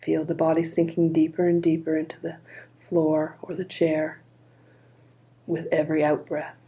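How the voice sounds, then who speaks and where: A woman leading a guided body scan meditation in a calm, gentle, unhurried manner. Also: deep voice.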